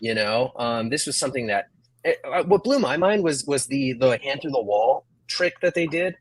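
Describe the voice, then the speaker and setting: A man's voice: medium pitch, lively intonation, normal speed, no echo. A man in his thirties explaining things informally as a panelist on a video call. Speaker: panelist with filmmaking experience. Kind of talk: casual explanation. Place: room at home, video call.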